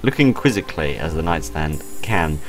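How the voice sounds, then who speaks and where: A man's voice: rumbling low, lively intonation, moderate pace, low-quality recording. A British man hosting a game playthrough, reading story text aloud theatrically and reacting with incredulous, hesitant asides. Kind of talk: gaming commentary, reading visual novel text aloud with ad-libbed reactions. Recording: music under the voice. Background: background music.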